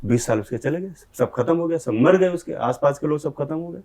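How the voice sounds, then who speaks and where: A man's voice: fairly high pitch, lively intonation, fairly quick, a little mellow. A middle-aged man answering interview questions, speaking earnestly and with grievance in long run-on accusations. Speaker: film director, interviewee.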